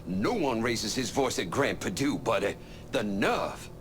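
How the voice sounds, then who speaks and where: A man's voice: very animated, a little slow, slight background noise. An actor playing a chatty, rambling man who thanks the hero for getting his truck back. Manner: rambling, jumpy pitch, folksy. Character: excitable older male truck owner, chatty and rambling.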